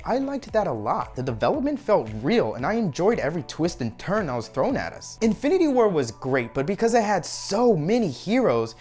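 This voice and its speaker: A man in his thirties giving an enthusiastic, emphatic movie review to camera as a video reviewer. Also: a little high. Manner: animated, emphatic. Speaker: film reviewer. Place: furnished room at home. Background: background music.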